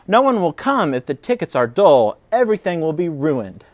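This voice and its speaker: A man's voice: fairly high pitch, sing-song, moderate pace, darkish tone, dry recording. A man voicing a fussy, insistent rabbit or squirrel from a children's storybook. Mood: demanding. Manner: insistent, emphatic. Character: bossy, fussy animal friend, rabbit or squirrel, fretting.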